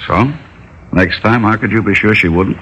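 An actor playing an unflappable older shopkeeper, reasoning in a folksy, wry way in an old radio drama. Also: male voice, fairly low pitch, moderate pace, a bit bright, breathy edge. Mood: calm, coaxing. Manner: folksy, wry, Yiddish-inflected phrasing. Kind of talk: 1960s radio drama acting. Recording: slightly noisy old radio recording.

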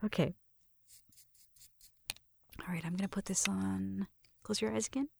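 A woman performing an ASMR roleplay in a gentle, breathy whisper, murmuring through each step close to the microphone. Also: medium pitch, fairly even intonation, measured pace, a little hiss or hum. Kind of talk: ASMR roleplay, soft personal attention. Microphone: close microphone, dry sound.

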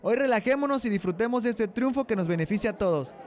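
A man's voice: high voice, expressive, fast talker, darkish tone, voice pushed up. A young man with a Mexican accent playing a smug, pompous, ruthless president in an amateur radio drama. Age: young adult.

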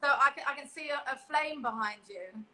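A woman's voice: high-pitched, brisk, sharp tone, a little hiss or hum. A young woman guest chatting casually on a video call, laughing often and restarting her sentences. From England. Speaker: guest performer. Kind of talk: casual interview chat.

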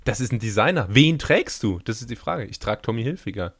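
A young man co-hosting a podcast, chatting in a relaxed way with dry, wry humor. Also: mid-range voice, fairly quick, a crowd in the background. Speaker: podcast co-host. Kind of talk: casual chat.

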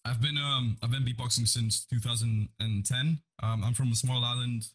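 A man tells his story thoughtfully over a video call. His voice is husky and sits at a middle pitch. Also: steady tone, normal speed, a bit bright. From Madeira, Portugal. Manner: thoughtful storytelling with fillers and restarts. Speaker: guest, beatboxer. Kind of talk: casual interview chat.

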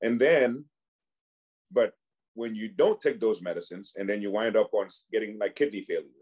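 A man in his forties from Cameroon, a physician, speaks with a somewhat low voice. He talks in an easygoing podcast manner, often stopping to restart a phrase or repeat a word before he finds it. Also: darkish tone, slightly rough. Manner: halting, many restarts and repeated words. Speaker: physician and clinic founder, guest. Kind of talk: casual podcast conversation.

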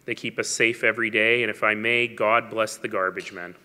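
A man's voice: lowish voice, fairly even intonation, brisk, pushed and bright. A man giving a public speech as a mayor, telling stories with wry asides and emphasis. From Canada. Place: large hall. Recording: long hall reverb.

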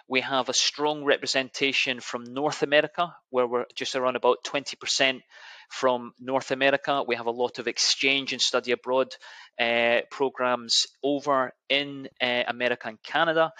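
Bright-voiced Scottish man, dry close sound. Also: mid-range voice, dry recording. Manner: enthusiastic, hesitant with many restarts. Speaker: university admissions officer. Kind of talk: podcast interview answers.

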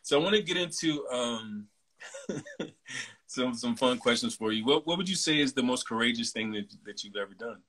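A man in his forties hosts a relaxed conversation and talks at an easy, rambling pace full of fillers. His voice is bright and forward, sitting at a middle pitch. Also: normal speed. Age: 40s. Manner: relaxed and chatty, many fillers. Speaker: host. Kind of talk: casual interview chat.

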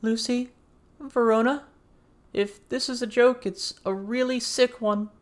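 A frightened girl in an audiobook tale, acted out by a man, pitched very high and calling out loudly. She sounds anxious and exposed, raising her voice to reach her friends. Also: fairly even intonation, unhurried. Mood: scared, calling out. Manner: raised voice, calling out.

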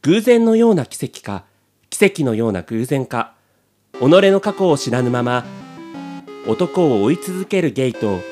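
A man's voice: mid-range voice, sing-song, a little slow, a little mellow, faint music behind. A man hosting a podcast and reading scripted narration as its presenter.

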